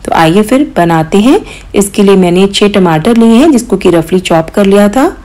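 A woman hosting a cooking channel, calmly explaining each step of a recipe in voice-over, with occasional small hesitations. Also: mid-range voice, normal speed. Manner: calm step-by-step instruction with mild hesitations.

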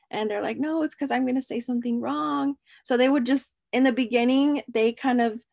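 Brief: native Spanish-speaking woman, slightly high, gravelly voice, dry sound, casual video call talk. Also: darkish tone, no echo. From United States. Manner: casual, friendly, "um" fillers. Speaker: student panelist. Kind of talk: video call panel answer.

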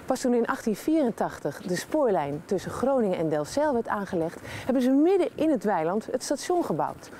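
A woman's voice: fairly high pitch, sing-song, a little fast, few pauses, dark tone, slight background noise. A middle-aged woman working as a TV presenter, presenting a regional documentary and doing interviews.

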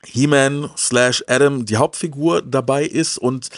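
Guy in his 30s hosting a chat, normal pitch, dry handheld mic sound. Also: fairly even intonation, a little slow, dry recording. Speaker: host of a retro TV show. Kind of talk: casual chat to viewers and guest. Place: indoors, small room full of media shelves. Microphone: handheld microphone.

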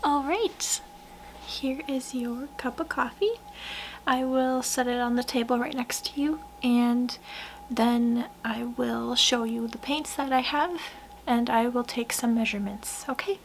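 A young woman speaks in a high, hushed voice at a very unhurried pace, as in an ASMR roleplay. Her gentle delivery often drops into a whisper. A noticeable low noise sits behind her. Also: rough recording. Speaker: ASMR roleplayer playing a portrait painter. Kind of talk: soft-spoken ASMR roleplay. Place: small wood-panelled room indoors.

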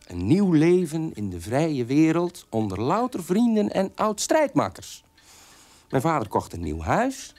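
Bitter, defiant son, animated radio monologue. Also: male voice, medium pitch. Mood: recounting. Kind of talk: Dutch radio drama monologue.

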